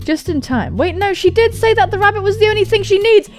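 A young British woman doing game commentary and reading aloud, excitable and sweary, exclaiming often. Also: extremely high pitch, fast talker. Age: young adult. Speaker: gaming video host. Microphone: close studio mic. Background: music.

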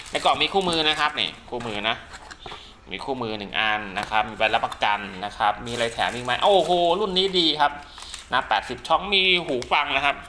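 A man giving a casual product demonstration as a seller, rambling and repeating himself with frequent polite tags. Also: medium pitch. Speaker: radio seller and channel host presenting products. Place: at home during heavy rain. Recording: loud rain noise behind the voice. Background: heavy rain.

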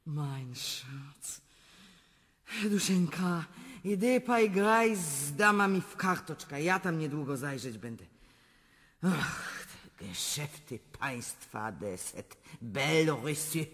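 Slow imperious German-accented empress. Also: female voice, low voice, lively intonation, a bit bright, exaggerated voice. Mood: coquettish, regal. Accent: German-accented, broken Polish. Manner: haughty, mixes in French and German phrases. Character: imperious, capricious mature German-born Russian empress. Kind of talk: theatrical radio drama acting. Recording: long hall-like reverb.

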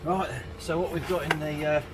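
A man's voice: fairly high pitch, fairly even intonation, fairly quick. A man in his forties, talking himself through a hands-on product review in a home garage, on a camera mic a few feet away. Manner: chatty, talks himself through each step. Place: home garage workshop, indoors. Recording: noisy garage recording.

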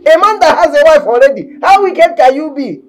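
A woman's voice: fairly low pitch, lively intonation, moderate pace, warm tone, slight background noise. A woman with a Nigerian accent playing a scheming, lecherous male house steward who bullies and mocks the maid in a comedy skit. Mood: mocking. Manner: mocking, bullying, laughing.

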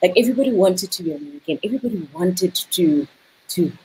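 Casting: South African woman, 30s, low-pitched, halting and filler-heavy, soft room hum. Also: rough recording. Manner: animated, many repeated words and "you know" fillers. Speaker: guest, tourism business owner. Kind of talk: casual video-call interview. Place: indoor room. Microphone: video call.

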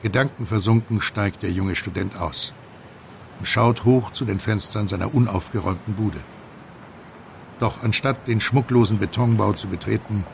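An actor as the knowing, measured narrator of a German conspiracy audio drama. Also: male voice, fairly low pitch, gravelly, a little hiss or hum.

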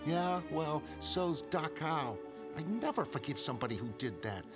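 A man plays a young woman in a dramatized comic book, sardonic and bitter. She talks bluntly and impatiently, like a fed-up daughter. The pitch swings freely and music plays clearly underneath. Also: animated, moderate pace, natural voice. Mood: indignant, bitter. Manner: blunt, sarcastic, colloquial. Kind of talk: dramatic comic-book narration in character voices.

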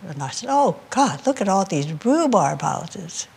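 An older man recalls his childhood in a slow, reflective way, and his voice is gravelly with a fairly high pitch. He is interviewed indoors. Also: sing-song, darkish tone.

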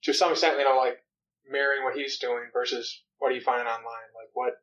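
A man's voice: mid-range voice, little pitch movement, a little fast, fairly bright tone. Male podcast host, rambly questions, kinda muffled sound. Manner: rambling, rephrasing his questions. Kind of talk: interview questions. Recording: slightly dull in-person recording.

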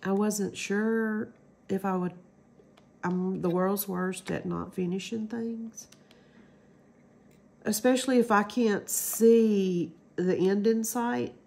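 A woman's voice: medium pitch, fairly even intonation, slow pace, gravelly, a little mellow. A middle-aged woman with a stuffy cold, chatting casually through a craft demo at home and rambling with lots of ums. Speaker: crafting channel host.

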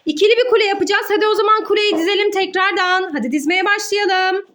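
A woman's voice: very high voice, quick pace, fairly bright tone. A woman hosts a children's play video as a mother. She speaks playfully to young viewers, then turns to her child with affectionate, encouraging words.

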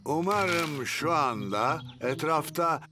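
A man's voice: fairly high pitch, lively intonation, slow, over music. An actor playing a fussy, grumbling old grandfather pig in a dubbed cartoon. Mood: grumpy. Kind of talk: cartoon dub acting.

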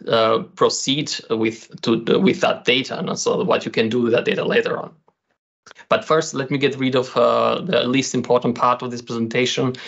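A man's voice: mid-range voice, gravelly, dull audio. A man in his thirties, from Albania, presenting a session on an online community call, hesitant with many fillers and repeated words. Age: 30s. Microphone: computer mic on a video call.

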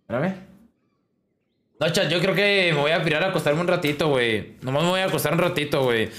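Higher-voiced young Mexican man streaming. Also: brisk, a bit bright. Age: 20s. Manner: slangy, informal. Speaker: video game streamer. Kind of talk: casual livestream chat. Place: indoors in a small room at a desk.

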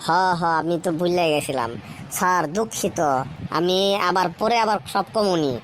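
A woman's voice: on the low side, lively intonation, slight background noise, close to an everyday voice. A woman voicing a dim-witted, eager schoolboy in a comic cartoon, with a rural Bangladeshi Bengali accent and a repetitive, muddled manner. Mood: apologetic, eager. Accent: Bangladeshi Bengali, rural colloquial. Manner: slow, repetitive, keeps seeking confirmation.

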